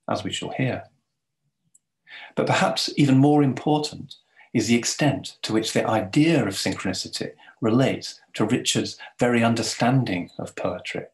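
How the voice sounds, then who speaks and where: A man giving a formal, measured scripted introduction in the manner of an academic presenting a speaker. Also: mid-range voice, low-quality recording.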